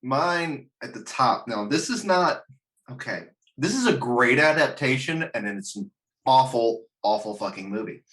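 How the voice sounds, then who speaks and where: A man's voice: medium pitch, expressive, unhurried, a bit bright, rough recording. A man hosting a casual discussion about films and games, speaking bluntly and wryly with some swearing. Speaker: podcast host. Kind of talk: casual film and game discussion chat.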